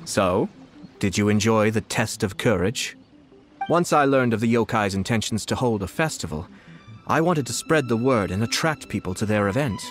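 Man as a refined, low-voiced young nobleman. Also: animated, faint music behind, close to an everyday voice. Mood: calm, calculating. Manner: formal, measured, polite. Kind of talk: video game voice acting.

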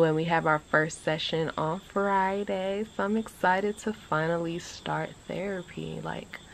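A woman in her twenties chatting casually to a phone camera on an outdoor porch, animated and rambling. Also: vocal fry, slight background noise. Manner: animated, chatty, rambling with lively pitch jumps. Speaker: vlogger. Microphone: phone camera at arm's length.

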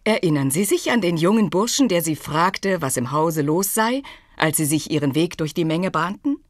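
A woman's voice: lowish voice, animated, moderate pace, dry recording, studio quality, natural voice. An actress playing a clever, self-possessed young woman in a German audio drama, poised, formal and faintly teasing. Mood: amused, confiding.

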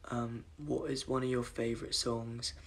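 A young man with a croaky voice chatting casually on a phone livestream from his bedroom at night, reading comments aloud and apologizing often. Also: medium pitch, flat delivery, normal speed. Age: young adult. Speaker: livestream host. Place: dim bedroom at night, indoors. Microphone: phone camera at arm's length.

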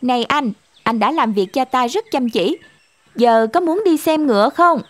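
A woman's voice: high voice, expressive, moderate pace, soft background music. A woman voicing a sly, bossy talking cat in a cartoon fairy tale, with a commanding, teasing manner. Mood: coaxing.

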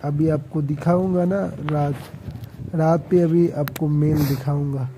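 A man's voice: on the high side, fairly even intonation, unhurried, noisy background. A man, a travel vlogger, chatting casually to viewers while walking outdoors, rambling and correcting himself as he goes. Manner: rambling, self-correcting, addresses viewers directly. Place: outdoor hill town square, busy at night. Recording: music playing loudly in background.

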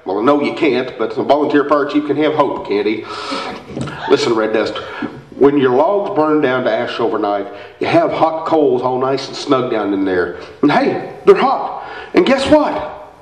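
A man's voice: medium pitch, big pitch swings, measured pace. A man playing an exasperated small-town fire chief, scolding his town with folksy sarcasm in a radio comedy. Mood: exasperated, pleading. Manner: folksy, sarcastic, rhetorical pleading. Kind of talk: live radio-play comedy reading. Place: indoor theater stage, standing microphone, far camera. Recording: echoey theater stage, distant microphone.

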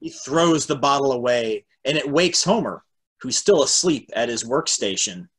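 Husky man narrating stage directions. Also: mid-range voice, animated, moderate pace, a bit bright. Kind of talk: scripted narration at an amateur table read. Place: home, on a video call.

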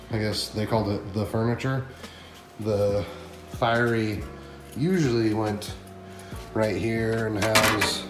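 A man in his thirties with a fairly low voice gives a chatty, excited walkthrough of his print shop on a handheld camera. His voice bounces around a big bare room, and music plays under him. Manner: excited, chatty and explanatory, thinking aloud. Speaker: print shop owner and vlogger. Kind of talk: casual walkthrough vlog. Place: large echoey print shop room with concrete floor. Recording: echoey room with music over it. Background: background music.

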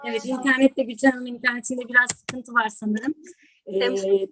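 A woman's voice: on the high side, normal speed, slight background noise. A woman hosting an online event, speaking in a polite, formal, thankful manner. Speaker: host and teacher. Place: online video call.

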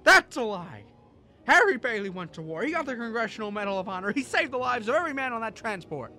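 A man acting a distraught radio-drama everyman with a high, bright voice. He stammers and pleads with desperate urgency, music sounding behind him. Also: sing-song, fast talker, full character voice. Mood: agitated disbelief.

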